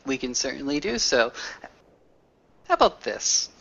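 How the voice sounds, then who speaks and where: A man's voice: mid-range voice, big pitch swings, a little slow, gravelly, fairly bright tone, muffled recording, exaggerated voice. A man playing an earnest, grandiloquent werewolf storyteller with a formal, oratorical delivery in a tabletop roleplay. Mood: earnest, rallying. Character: grandiloquent male werewolf storyteller, earnest, rallying his pack.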